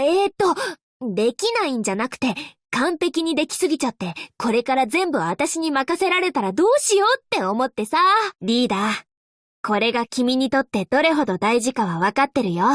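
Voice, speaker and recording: Carefree young angel courier girl, anime game style, really high n perky, swoops all over, teasing. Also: a bit bright, no echo, clean studio sound. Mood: reassuring. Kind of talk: Japanese anime game voice acting.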